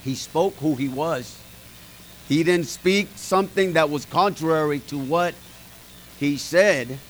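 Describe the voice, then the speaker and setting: Folksy preaching man, slightly high voice. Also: slow pace, slight background noise, poor audio. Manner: folksy, emphatic, talks to the congregation directly. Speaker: pastor. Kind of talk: informal sermon to a small group.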